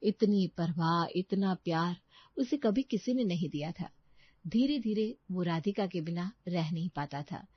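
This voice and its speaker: A woman's voice: on the low side, a little slow, no echo, dull audio. A woman reading scripted storytelling narration as the narrator.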